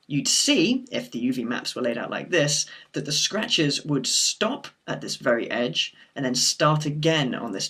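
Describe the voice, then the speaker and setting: A man with a croaky voice from a sore throat, talking through a screen-recorded software tutorial in a steady explanatory way. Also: medium pitch. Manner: steady explanatory walkthrough with occasional fillers.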